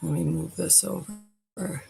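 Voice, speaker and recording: A woman's voice: sits low, fairly even intonation, slow pace, vocal fry, mellow, a little hiss or hum. A woman hosting a live stream, chatting loosely and swearing casually as she sets up her screen. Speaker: livestream host.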